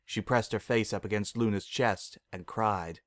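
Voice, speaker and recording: Low-pitched male story narrator. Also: fairly even intonation, normal speed, dry recording, studio quality. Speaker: narrator of fan fiction. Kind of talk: dramatic scripted narration.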